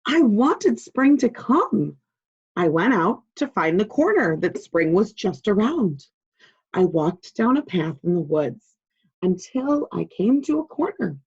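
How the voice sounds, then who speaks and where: A woman voicing a friendly frog who calmly and patiently tells a story of his childhood. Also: mid-range voice, takes it slowly, husky, highs cut off, heavily acted. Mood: reminiscing.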